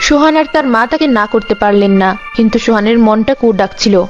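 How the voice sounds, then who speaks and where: A woman's voice: on the high side, quick pace, muffled recording, soft background music. A woman narrator reading a scripted fairy tale as storyteller.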